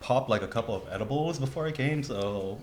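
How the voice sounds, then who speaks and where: A man's voice: mid-range voice, expressive, brisk. A man chatting casually on a podcast, slangy, profane and joking, often repeating himself. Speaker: podcast panelist.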